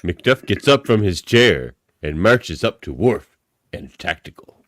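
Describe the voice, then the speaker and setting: Very deep, animated male narrator. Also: a little slow, dry recording, clean studio sound. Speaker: podcast narrator. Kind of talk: comic reading of stage directions.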